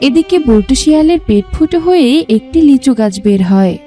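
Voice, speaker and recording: A woman's voice: high-pitched, expressive, brisk, dark tone, faint music behind. A woman narrator reading a scripted fairy tale for a children's cartoon.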